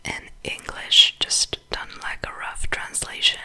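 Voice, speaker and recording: Slow whispering Swedish-accented woman. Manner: soft unvoiced whisper, slow and unhurried. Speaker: ASMR creator. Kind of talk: ASMR whispering.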